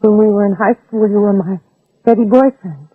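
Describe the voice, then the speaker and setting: A woman's voice: mid-range voice, brisk, no echo, phone-quality sound, lightly acted. An actress playing a brave, protective mother in a 1960s radio drama, pleading earnestly and persuasively with an armed man. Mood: tender, earnest. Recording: old band-limited radio recording, husky, dry.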